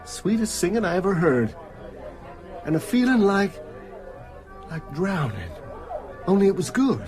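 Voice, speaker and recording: Sick small-town labourer, slow halting speech, swooping pitch, weary and scared, radio drama. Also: male voice, very animated, over music, crowd noise. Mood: dazed, dreamy. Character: sick, frightened, exhausted small-town working man.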